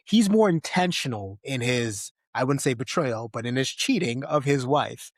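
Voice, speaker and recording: A man's voice: medium pitch, animated, moderate pace, no room sound, studio quality. A man hosting a podcast, discussing films casually and conversationally, often tagging his points with a questioning "right?". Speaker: podcast host.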